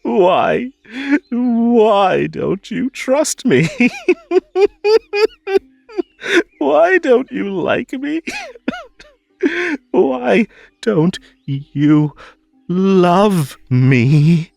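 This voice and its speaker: Unhinged, wildly swooping yandere hare. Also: male voice, high-pitched, lively intonation, extremely slow, gravelly, darkish tone, no room sound, exaggerated voice. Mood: hysterical, hurt. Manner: giggly, stammering, swooping from gushing to menacing. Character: unhinged possessive male hare host, the Ether Bunny. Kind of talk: theatrical roleplay audio drama monologue.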